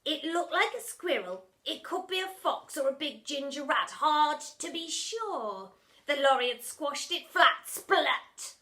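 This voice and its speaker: A woman's voice: sits high, lively intonation, a little slow, sharp tone, voice pushed up, exaggerated voice. A woman voicing a villainous old dinner lady in a children's story, mock-sweet and gloating, stretching out her words. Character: villainous old dinner lady, one-eyed, false teeth, gleefully menacing.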